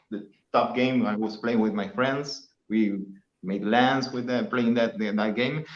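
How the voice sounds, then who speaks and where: A man's voice: medium pitch, roomy sound, dull audio. A man with an Argentine Spanish accent, a native Spanish speaker, chatting casually as a guest on a livestream and telling stories loosely with some swearing.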